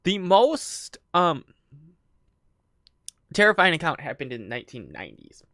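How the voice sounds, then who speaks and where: A man's voice: fairly high pitch, sing-song, a little slow, sharp tone, no room sound, studio quality. A man co-hosting a casual podcast, reading out an account, stumbling over words and laughing often. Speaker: podcast co-host. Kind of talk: casual chat, reading a story.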